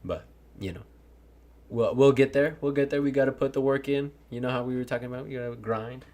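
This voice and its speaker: A man's voice: medium pitch, a little fast, darkish tone, a little hiss or hum. A young man in casual chat, rambling earnestly about grinding for his goals, swearing often and laughing easily. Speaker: content creator.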